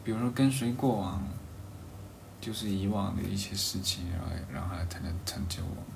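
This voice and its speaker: A man in a casual, reflective conversation, halting and rephrasing his thoughts as he goes. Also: sits low, gravelly. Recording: roomy echo, faint background noise.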